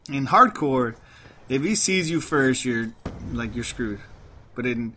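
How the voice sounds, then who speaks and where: A man's voice: mid-range voice, expressive, takes it slowly, bright, forceful tone, a little hiss or hum. A man with a light Mexican accent, rambling through casual gameplay commentary and laughing at himself. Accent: light Mexican Spanish. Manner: rambling, self-deprecating, frequent restarts and laughs. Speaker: gaming commentator.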